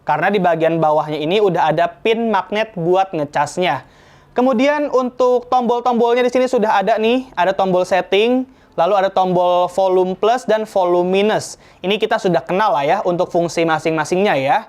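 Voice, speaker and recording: A man's voice: high-pitched, normal speed, darkish tone. A man presenting a casual promotional product review, chatting to viewers like friends.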